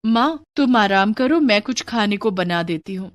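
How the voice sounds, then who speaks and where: A woman's voice: mid-range voice, expressive, normal speed, natural voice. A woman voicing an anxious, caring young daughter in a dramatized moral story, earnest in manner. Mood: concerned.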